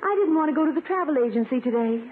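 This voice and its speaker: A woman's voice: sits high, lively intonation, very fast, narrow, tinny audio. An American actress playing a coy, gushing, romantic woman angling for a husband, in 1950s radio comedy style. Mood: dreamy, gushing. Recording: thin, faintly noisy 1950s radio recording.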